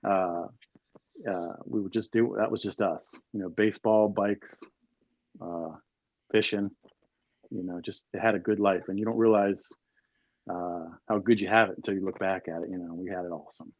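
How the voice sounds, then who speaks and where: A man in his early forties from Maryland chats as a podcast guest, wandering through stories with plenty of fillers and restarts. His voice sits on the low side. The recording is dry and a little dull and lo-fi. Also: steady tone, normal speed, dry recording. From Northern Carroll County, Maryland, USA. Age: 40s. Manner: rambling, lots of fillers and restarts. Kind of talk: casual storytelling chat.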